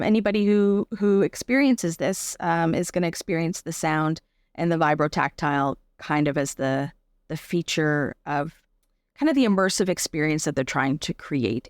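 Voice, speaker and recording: A woman's voice: fairly low pitch, dry recording, studio quality. Canadian woman, casual thoughtful interview guest. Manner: informal, thoughtful, explains through examples and rhetorical questions. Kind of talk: casual, reflective interview talk.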